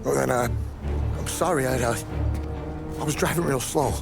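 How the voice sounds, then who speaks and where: An American man playing an excitable, easily rattled boxer in a cinematic crime game. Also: mid-range voice, normal speed, vocal fry, over music, natural voice. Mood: frightened, pleading. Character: hot-headed young male boxer turned cowering, stammering apologizer.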